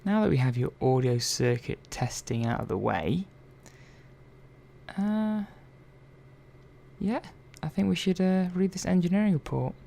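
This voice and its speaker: A man's voice: high-pitched, lively intonation, unhurried, gravelly, long pauses, dark tone, pitched above the performer's own voice, full character voice. A man playing a chatty, caring robot technician in an ASMR roleplay, talking hesitantly and reassuringly to one listener. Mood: chatty, musing. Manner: hesitant, rambling, reassuring, murmured asides. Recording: faint background noise and ambience.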